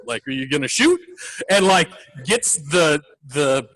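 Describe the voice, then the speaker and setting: A man's voice: fairly high pitch, big pitch swings, measured pace, creaky, a bit bright, no room sound, low-quality recording. A man co-hosting a film podcast, talking casually and rambling with many fillers. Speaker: podcast co-host. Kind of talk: casual film review chat.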